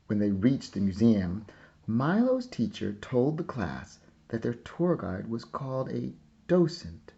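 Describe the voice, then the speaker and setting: A man narrating a children's picture book with measured, deliberate storytelling. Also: mid-range voice, big pitch swings, creaky, dark tone, lo-fi sound. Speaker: storybook reader.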